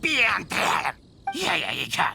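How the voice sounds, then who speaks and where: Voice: mid-range voice, wildly swooping pitch, extremely slow, creaky, exaggerated voice. An actor voicing a small, jumpy goblin-like monster in a video game, gibbering gutturally in a made-up tongue. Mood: agitated.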